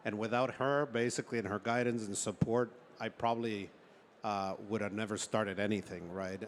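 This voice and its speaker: A man from Ecuador talking as a startup founder being interviewed, telling stories in an informal, halting way with frequent check-in words. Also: fairly low pitch, fairly even intonation. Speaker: startup founder and chief executive, interview guest. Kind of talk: conversational storytelling in an on-stage interview. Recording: noticeable background noise, room ambience.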